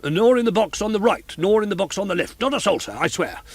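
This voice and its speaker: A man's voice: high voice, animated, fast talker, no echo, pitched above the performer's own voice. A man voicing a nervous male theatre inspector who splutters and stammers through his explanations. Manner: hesitant, stammering.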